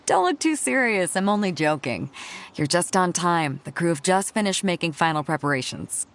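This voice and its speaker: A woman's voice: lowish voice, lively intonation, moderate pace. A woman playing a confident, teasing female sea captain in a video game, with a theatrical, commanding delivery. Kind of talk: video game character acting.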